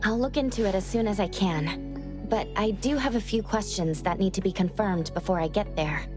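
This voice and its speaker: Actress as a composed young investigator. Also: female voice, medium pitch, moderate pace, over music, close to an everyday voice. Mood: calm, reassuring. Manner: polite, composed, professional. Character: calm, considerate young investigator of anomalies. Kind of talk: video game voice acting.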